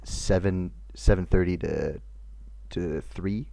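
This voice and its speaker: A man with a deep voice co-hosting a casual podcast chat, dry and laid-back in his banter. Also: fairly even intonation, slow pace, creaky, dark tone, no echo. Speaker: podcast co-host.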